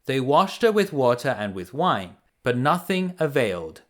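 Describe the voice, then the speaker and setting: A man reading a fairy tale aloud to children as a bedtime storyteller, deliberate and expressive. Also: mid-range voice.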